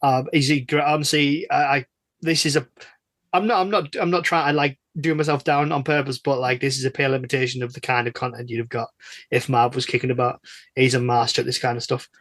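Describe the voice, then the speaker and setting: A man's voice: mid-range voice, fairly even intonation, fast talker, fairly bright tone, no room sound. A man interviewing casually for a podcast, with a rambling, self-deprecating way of talking.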